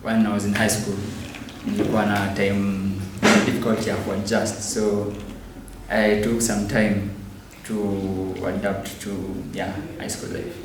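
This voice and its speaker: Flat-toned young Kenyan man, echoey. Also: lowish voice, slow. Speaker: student interviewee. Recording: very echoey room, distant mic, noisy.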